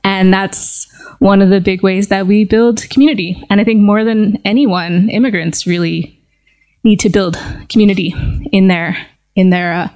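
A woman hosting a casual conversation at a table with friends, talking thoughtfully and pausing with fillers. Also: medium pitch, steady tone, darkish tone. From United States. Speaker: podcast host. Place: seated at a table with others.

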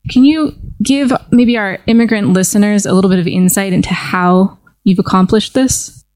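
A woman's voice: medium pitch, normal speed, darkish tone, dry recording. Woman hosting a roundtable. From United States. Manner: friendly, asks follow-up questions, frequent "um" and "sort of". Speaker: podcast host. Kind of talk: casual roundtable chat.

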